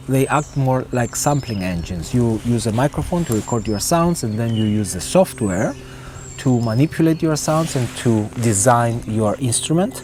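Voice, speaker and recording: A middle-aged man giving a casual, tongue-in-cheek vlog explanation to camera, speaking informally and deliberately. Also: mid-range voice, a little mellow. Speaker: video host and music producer. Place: outdoors, park woodland and street. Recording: outdoor ambient noise.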